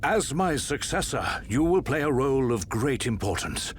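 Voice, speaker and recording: A man voicing a stern, stately older emperor, solemn and measured, in a video game. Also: medium pitch, sing-song, a little hiss or hum, lightly acted. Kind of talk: video game cutscene acting.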